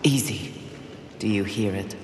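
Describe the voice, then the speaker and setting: A woman's voice: rumbling low, unhurried, background noise. An actress playing a haughty, ruthless warrior horsewoman in a video game, with a commanding, sardonic delivery. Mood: controlled, coaxing. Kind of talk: dramatic video game acting. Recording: echoey hall reverb under loud music.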